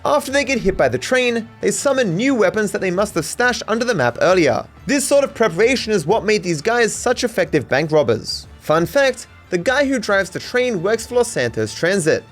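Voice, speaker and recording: A man's voice: high voice, big pitch swings, normal speed, hardly any pauses, soft background music. A man with an Australian accent narrating a scripted comedic video essay with dry, mock-serious sarcasm.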